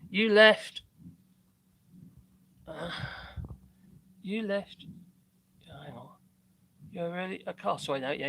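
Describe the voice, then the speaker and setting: A man's voice: fairly high pitch, vocal fry, fairly bright tone, a little hiss or hum. A man in his fifties with a Norfolk accent, chatting casually and rambling on a live stream, laughing often. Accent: Norfolk English. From Norfolk, England. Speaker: livestream guest. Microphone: camera on tripod.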